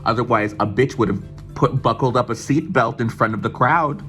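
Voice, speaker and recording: A young man from the United States telling an excited, swearing storytime vlog and mimicking other people's voices as he goes. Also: medium pitch, moderate pace, faint music behind. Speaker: vlogger. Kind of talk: casual storytime chat.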